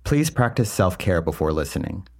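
A man hosting a documentary podcast, reading scripted narration in a measured, earnest way. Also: deep voice, sing-song, darkish tone. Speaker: podcast host.

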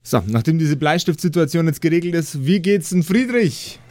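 A man's voice: a little high, normal speed, darkish tone, no echo, studio quality. A man working as game master, narrating an improvised tabletop game and bantering with players. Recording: clearly audible background noise.

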